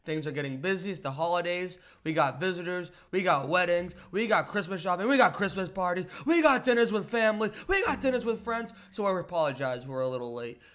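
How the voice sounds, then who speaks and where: A man's voice: high-pitched, lively intonation, fairly quick, hardly any pauses, a bit bright. A man co-hosting a casual sports and pop culture podcast, chatting in an upbeat, joking way and slightly under the weather. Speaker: podcast co-host. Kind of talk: casual chat. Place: apartment in a big city.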